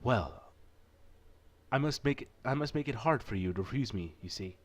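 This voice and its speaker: Man as rich gentleman patron, visual novel character, medium pitch, formal, courtly, self-assured, teasing. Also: animated, moderate pace, close to an everyday voice. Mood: confident, teasing.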